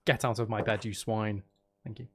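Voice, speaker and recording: A man's voice: fairly low pitch, flat delivery, normal speed, a little hiss or hum. A man chatting casually as a livestream host, with playful, teasing, rambling banter and self-deprecating humour. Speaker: livestream host chatting with viewers while playing a game. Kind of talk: casual livestream chat with gameplay commentary.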